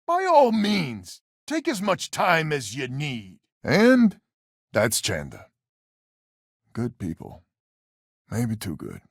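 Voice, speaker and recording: A stubborn, weary leader of space settlers in a video game. He is folksy and sardonic, his voice set a little high for a man and moving freely up and down, recorded close and dry. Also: wildly swooping pitch, slow pace, creaky, long pauses, husky, dry recording, full character voice. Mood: wry. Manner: folksy, sardonic, plain-spoken. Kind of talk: video game character dialogue.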